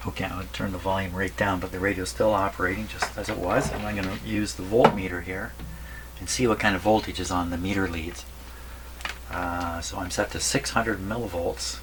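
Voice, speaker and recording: A man's voice: low voice, steady tone, fairly quick. A man giving casual workbench commentary as he tests a radio, often muttering to himself as he goes. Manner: muttering to himself while working. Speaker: radio repair hobbyist presenting. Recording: noisy workbench recording with electrical hum.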